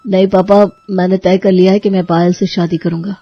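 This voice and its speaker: A woman's voice: fairly low pitch, flat delivery, brisk, no room sound. Woman voicing an earnest young man, dull sound. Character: earnest young man, a brother planning his wedding. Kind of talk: cartoon story dialogue.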